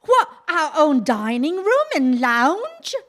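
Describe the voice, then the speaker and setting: A woman's voice: high voice, big pitch swings, takes it slowly, a bit bright, full character voice. A woman playing a chatty, cheerful working-class Australian housewife in her thirties in an audio drama, with colloquial, wistful manner. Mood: delighted. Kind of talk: audio drama monologue and dialogue.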